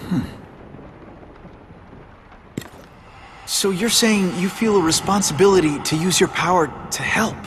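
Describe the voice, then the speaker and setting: A man's voice: high voice, a little fast, noisy background, natural voice. An actor playing an earnest, wary young man interviewing as a reporter in a video game, with a casual, slightly hesitant manner. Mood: curious, polite. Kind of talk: video game dramatic acting.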